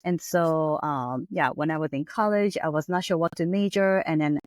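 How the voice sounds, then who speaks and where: A woman's voice: deep voice, normal speed, darkish tone, no echo, clean studio sound. A woman from Japan with a Japanese accent, a therapist guest on a podcast, speaking thoughtfully with many fillers and restarts. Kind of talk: podcast interview.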